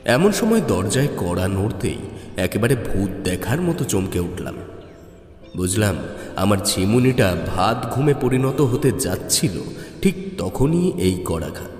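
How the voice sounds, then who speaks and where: A man narrating a horror story in the first person, expressive and measured in his storytelling. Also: on the low side. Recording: echoey reverb, music underneath.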